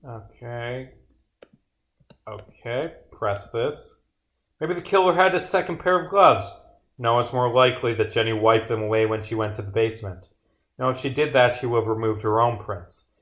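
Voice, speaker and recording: A man's voice: medium pitch, normal speed, fairly bright tone. Man muttering through a game livestream. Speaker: game developer streaming. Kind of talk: casual livestream playthrough, reading game dialogue aloud.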